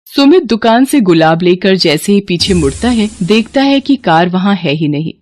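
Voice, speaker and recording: A woman's voice: medium pitch, animated, normal speed, darkish tone. A woman giving scripted narration of a moral story.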